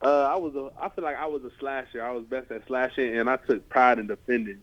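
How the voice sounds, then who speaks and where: A man in his twenties from the United States speaks at a middle pitch over a slightly dull, lo-fi call. He is a football player answering questions informally, leaning often on "you know" and "like.". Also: fairly even intonation, quick pace. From United States (California and Ohio). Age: 20s. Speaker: American football player, linebacker. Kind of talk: casual press interview answers. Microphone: remote conference call. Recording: dull, lo-fi conference call audio.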